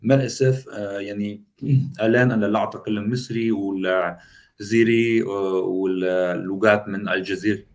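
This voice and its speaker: A man's voice: fairly low pitch, fairly even intonation, racing. A man on a video call, chatting casually and playfully quizzing his partner with questions. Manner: playful, testing the other with questions. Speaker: polyglot video host. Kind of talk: casual chat.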